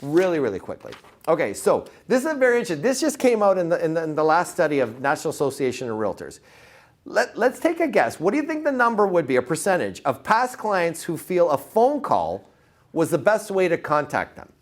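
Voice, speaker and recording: A man's voice: a little high, very animated, a little fast. A man giving an informal motivational sales presentation, repeating words for emphasis and putting questions to his listeners. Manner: informal, punchy, asks the audience questions. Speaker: sales trainer presenting to real estate agents.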